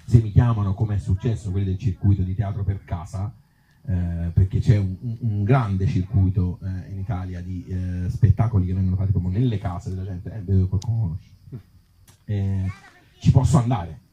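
A man with a Tuscan accent talking informally at a live event as an actor and author, in halting, circling phrases with blunt joking asides. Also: deep voice, steady tone, warm tone, lo-fi sound, a little hiss or hum. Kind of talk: informal live talk with the audience. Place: outdoor evening event in an olive grove.

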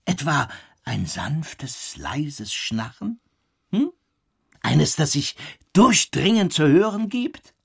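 An actor in a German radio play playing an earnest, eccentric witness who tells a fantastical story in florid, insistent language. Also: male voice, on the high side, big pitch swings, slow, gravelly, fairly bright tone, slightly rough, exaggerated voice. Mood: coaxing, rapt.

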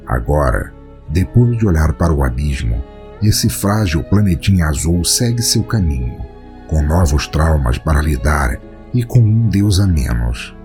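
A man's voice: rumbling low, sing-song, unhurried, a little mellow, faint music behind. A man with a Brazilian accent doing scripted storytelling and podcast hosting in a theatrical, florid manner. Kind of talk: scripted audio-drama narration and hosting.

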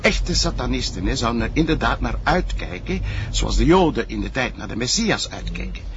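An actor playing a chatty, learned police sidekick in a TV drama, with a Flemish accent and a halting, explanatory manner. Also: male voice, medium pitch, sing-song, dull audio, background noise, lightly acted. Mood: intrigued. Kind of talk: naturalistic TV drama dialogue.

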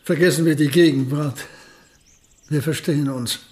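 A man acts out an elderly physicist who is proud of his precision. His voice sits high, and he lays out every point with measured, pedantic care in this radio drama. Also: steady tone, a little mellow, natural voice.

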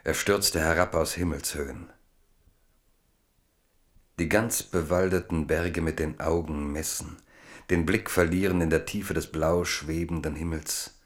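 Very deep, slow male narrator. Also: steady tone. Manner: measured, solemn. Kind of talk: literary scripted radio play narration.

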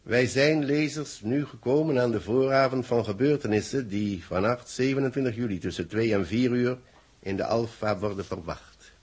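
A man's voice: mid-range voice, darkish tone, breathy edge, lightly acted. A Dutch actor playing a grave senior man who declaims space bulletins solemnly in a 1960s radio drama. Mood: solemn, tense. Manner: solemn, theatrical, deliberate declamation.

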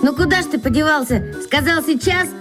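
A cheeky, puzzled schoolboy voiced by a woman with a high voice. The radio drama acting has clearly audible music behind it. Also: fairly quick, natural voice. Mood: surprised.

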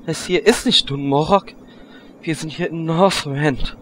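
A man's voice: on the high side, unhurried, a little hiss or hum, close to an everyday voice. A man playing a boastful, flirtatious warlock in a comedic audio drama, with a cocky way of talking. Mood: sardonic.

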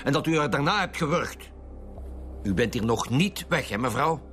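A man's voice: mid-range voice, expressive, moderate pace, over music, lightly acted. A Flemish man playing a blunt, impatient lead homicide detective in a radio drama, speaking assertively. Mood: accusing, pressing. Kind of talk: Belgian radio police drama acting.

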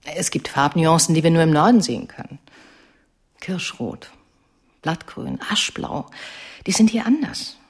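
An actress playing a self-possessed, coolly flirtatious middle-aged painter and mother in a radio drama, deliberate and teasing. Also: deep voice, very animated, breathy edge, full character voice. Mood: musing.

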